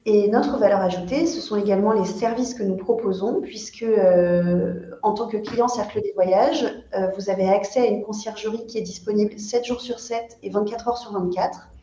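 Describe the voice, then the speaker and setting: A woman hosts an online webinar for a travel agency, pitching its services with frequent hesitations. Her voice is dark and mellow. The call audio is muffled, lo-fi and echoey, as if from a computer mic in a bare room. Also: normal speed, few pauses. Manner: frequent "euh" fillers, promotional tone. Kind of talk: presenting a company webinar. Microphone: computer mic on a video call.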